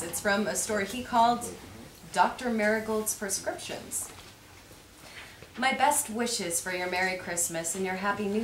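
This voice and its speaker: Woman reading aloud, distant and echoey. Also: mid-range voice. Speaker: reader at a readers theater event. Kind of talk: live literary reading. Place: live reading in a roomy space. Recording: echoey room, distant mic, faint noise.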